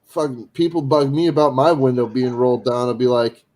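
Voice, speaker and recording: A man in his forties, easygoing and quick to laugh, chatting in casual banter on a live stream. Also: medium pitch, flat delivery, a little mellow. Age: 40s. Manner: laughs often, easygoing, says dude. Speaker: panel regular.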